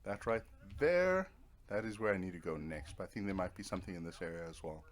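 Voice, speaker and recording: A man's voice: low voice, expressive, fast talker. A man giving casual let's play commentary, rambling to himself in a wry, self-deprecating way. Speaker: gaming video host.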